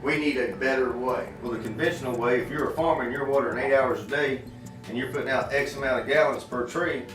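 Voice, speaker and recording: A man's voice: mid-range voice, fairly even intonation, fast talker. Folksy man in a roomy space. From Florida, United States. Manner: folksy, plain-spoken, emphatic with repetition. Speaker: inventor and farm irrigation worker, interview guest. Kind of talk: earnest interview talk. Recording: roomy, distant mic, faint music behind.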